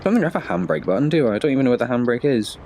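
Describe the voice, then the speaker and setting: A man's voice: mid-range voice, big pitch swings, racing, a little mellow, a little hiss or hum. Chatty man with a cold. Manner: chatty, thinking aloud, self-deprecating asides. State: slight head cold. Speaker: gaming video host. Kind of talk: casual let's-play commentary.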